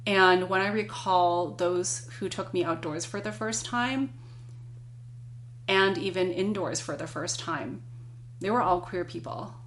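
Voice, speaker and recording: A non-binary person in their thirties, a podcast guest, speaks earnestly and reflectively, telling a personal story in a measured way. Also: medium pitch, a bit bright, slight background noise. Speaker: podcast guest, climbing guide. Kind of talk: conversational interview.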